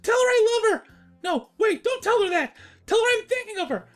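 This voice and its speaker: A woman's voice: extremely high pitch, very animated, brisk, faint music behind, voice pushed up. A woman voicing a panicky, lovestruck young male cartoon dragon who blurts out lines frantically. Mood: lovestruck flustered.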